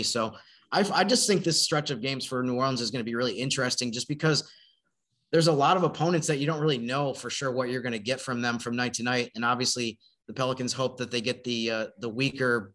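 Man, mid-pitched voice, podcast sports analyst, conversational, measured, explanatory. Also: pushed and bright. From northern United States.

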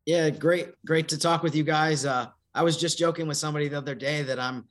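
A man giving conversational sports analysis on a podcast in a measured, explanatory way with careful qualifications. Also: a little high, steady tone, fairly bright tone. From northern United States.

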